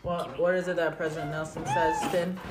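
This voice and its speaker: A woman's voice: on the low side, fairly even intonation, fairly quick, loud music behind the voice. A middle-aged woman hosting a casual family scripture discussion at home, asking questions and prompting her kids. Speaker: podcast host and parent. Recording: roomy home recording with background noise.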